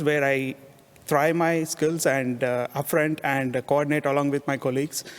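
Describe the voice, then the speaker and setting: A man from India, introducing himself haltingly with many fillers. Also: medium pitch. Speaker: panelist, software tester. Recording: long concert hall reverb.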